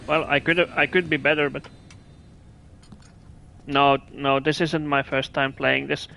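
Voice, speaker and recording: Bright-voiced man streaming. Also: fairly high pitch, little pitch movement, a little slow, gravelly, slight background noise. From Finland. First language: Russian. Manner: chatty, answers viewers' chat, restarts phrases. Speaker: game streamer. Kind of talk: casual gameplay commentary.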